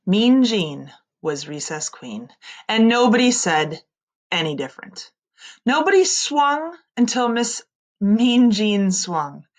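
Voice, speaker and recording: A woman in her thirties reading a children's story aloud like a teacher, expressively, with dramatic drawn-out emphasis on key words. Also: mid-range voice, sing-song. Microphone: computer mic on a screen-share video recording.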